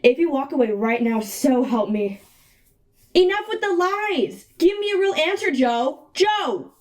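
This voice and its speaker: Overwrought angry wife, played by a woman, high-pitched, melodramatic stage parody. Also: sing-song, measured pace. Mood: angry, desperate. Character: furious melodramatic wife confronting her husband.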